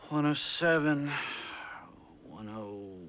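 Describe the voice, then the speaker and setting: A methodical clerk in a game scene, extremely slow and halting as he tallies. His mid-pitched voice sits in clear background noise. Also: animated, a bit bright. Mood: busy, methodical. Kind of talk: video game background acting.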